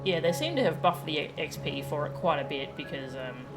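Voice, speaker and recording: A woman's voice: low voice, expressive, normal speed. A woman chatting casually as she plays a game on a live stream. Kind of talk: casual live-stream chat while playing. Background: game music.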